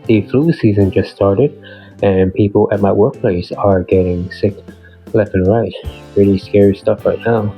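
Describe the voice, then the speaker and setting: A man's voice: fairly low pitch, fairly even intonation, a little slow, dull audio, faint music behind. A man hosting his own channel, giving a plainly read, earnest weekly progress recap while his voice is still off from a flu. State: voice off from recovering flu. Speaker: beginner artist and channel host. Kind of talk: scripted weekly progress narration.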